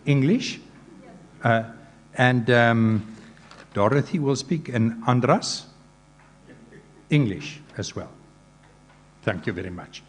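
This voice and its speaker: A man chairing a conference panel whose first language is Afrikaans, talking haltingly with many hesitations and light jokes. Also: mid-range voice, big-room sound. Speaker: session chair.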